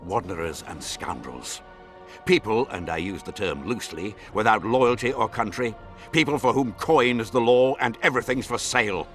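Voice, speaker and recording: A man's voice: lowish voice, moderate pace, fairly bright tone, faint music behind, natural voice. A man playing a haughty, scornful nobleman in a fantasy video game, with imperious, formal diction. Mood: stern, haughty. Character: haughty scornful nobleman, baron vying for a throne. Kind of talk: dramatic fantasy game acting.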